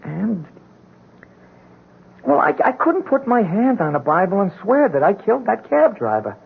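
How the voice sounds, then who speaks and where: A man's voice: high voice, big pitch swings, normal speed, creaky, breathy edge, heavily acted. A man playing a meek, hapless drunkard pleading innocence in a radio drama, stammering and ingratiating in uneducated street talk. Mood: meek, hesitant. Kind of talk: old-time radio drama acting. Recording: muffled 1970s radio drama broadcast.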